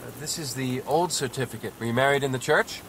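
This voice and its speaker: An actor playing a pious, officious border officer in an audio drama, clipped, procedural and coldly insistent. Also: male voice, medium pitch, fast, a bit bright, slight background noise, lightly acted, low-quality recording.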